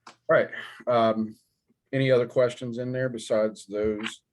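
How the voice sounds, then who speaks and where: A man teaching a math class, hoarse and raspy from a sore throat, walking through problems step by step with many ums and pauses. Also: medium pitch, flat delivery, a little hiss or hum. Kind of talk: classroom lecture walkthrough.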